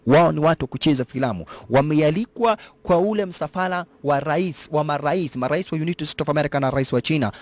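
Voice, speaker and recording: A man giving live, jokey voice-over narration of an action film, mixing slang and English. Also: on the high side. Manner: lively, jokey, mixes Swahili slang with English. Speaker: movie narrator. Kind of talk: live Swahili movie voice-over commentary.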